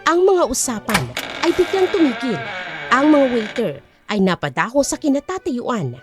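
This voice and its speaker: Woman narrator, lively and fairly high. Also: moderate pace, over music. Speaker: audiobook narrator. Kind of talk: dramatic scripted fiction narration.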